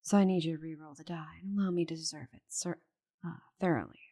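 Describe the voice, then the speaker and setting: A haughty giant female dragon, acted by a woman for an ASMR roleplay, speaks in a low, breathy voice. She is stubborn and possessive, guarding her secrets and often dropping into a whisper. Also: steady tone, quick pace, warm tone. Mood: grave, puzzled. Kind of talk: intimate ASMR roleplay acting.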